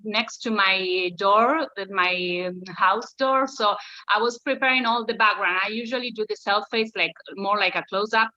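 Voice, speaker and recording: Bright-voiced woman on a dull video call. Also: mid-range voice, no room sound. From Argentina. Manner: friendly, eager, fast talking with fillers. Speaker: acting challenge participant. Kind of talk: casual chat on a video call.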